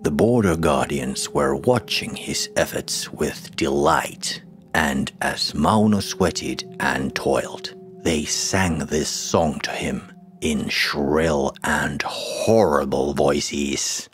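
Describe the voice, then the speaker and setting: A man narrating a scary folktale in a dramatic, deliberate storytelling manner. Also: extremely low pitch. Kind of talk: scripted horror folktale narration. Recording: music behind the voice. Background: music.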